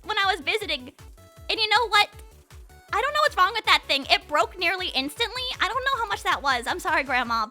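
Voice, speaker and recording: A young woman hosts a casual livestream chat in a very high, strikingly bright voice. Her intonation moves around a lot as she rambles playfully from one thought to the next, talking to her viewers. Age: 20s. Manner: playful, rambling, says "like" constantly. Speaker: livestream host chatting with viewers.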